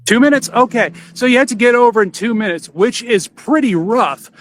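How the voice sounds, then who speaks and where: A man's voice: very high voice, expressive, normal speed, a little hiss or hum. A man hosting a podcast in a casual, conversational style. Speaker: podcast host.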